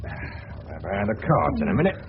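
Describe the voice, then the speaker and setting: An actor playing a chatty suburban English husband, colloquial and a little hesitant, in a radio drama. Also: male voice, deep voice, big pitch swings, a little fast, a loud crowd. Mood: genial. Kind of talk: 1970s radio drama acting. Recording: muffled, noisy old radio broadcast.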